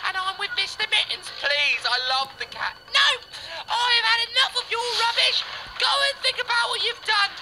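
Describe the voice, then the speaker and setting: A man's voice: extremely high pitch, expressive, over music, low-quality recording. A man voicing a bossy, hot-tempered woman in an amateur game skit, with exaggerated scolding delivery. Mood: bossy, scornful. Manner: exaggerated, scolding, drawn-out words. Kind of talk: amateur game roleplay acting.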